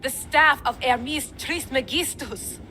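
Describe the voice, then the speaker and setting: Eager high-voiced artifact hunter. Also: female voice, slow, sharp tone, full character voice. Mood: assured. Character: modern driven female artifact hunter, eager and assured. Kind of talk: video game cutscene acting. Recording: faint ambient noise.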